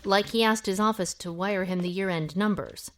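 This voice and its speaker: An actress playing a shrewd, take-charge amateur detective with a brisk, wry way of reasoning aloud in an audio drama. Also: female voice, mid-range voice, fairly bright tone, a little hiss or hum, natural voice. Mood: wry, assured. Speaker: lead actor in an audio drama.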